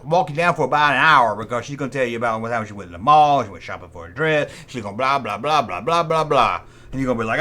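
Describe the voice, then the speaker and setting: American man, middle-aged, lively swooping delivery, folksy sarcastic toy-channel host. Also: a little high. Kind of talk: casual humorous commentary.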